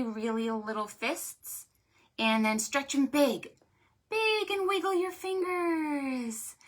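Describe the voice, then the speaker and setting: A woman hosting a preschool storytime, speaking to small children in an animated, sing-song, exaggerated way. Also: a little high. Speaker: children's librarian, storytime host.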